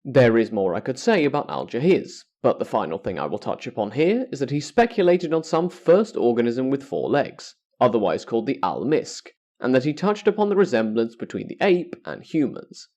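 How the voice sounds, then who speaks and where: Man narrating with lively, swinging intonation. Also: medium pitch, moderate pace, few pauses. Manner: formal, carefully structured delivery. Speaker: podcast host and narrator. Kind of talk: scripted educational narration.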